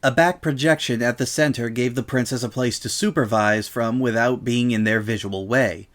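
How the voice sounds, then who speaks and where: A man's voice: medium pitch, normal speed. A man reading scripted story narration as the narrator of a dramatic reading.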